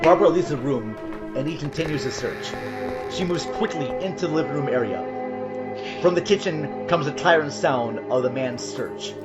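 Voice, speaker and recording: A man narrating a scripted horror audio drama in a dramatic, descriptive storytelling manner, with loud music behind him. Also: a little high, animated, normal speed.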